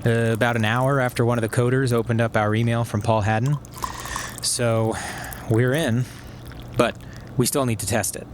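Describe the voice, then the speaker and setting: An actor playing a clever, controlled hacker plotting revenge, delivered as dry, naturalistic audio drama dialogue. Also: male voice, medium pitch, steady tone, normal speed, noisy background, natural voice. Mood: focused. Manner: matter-of-fact, dry, controlled.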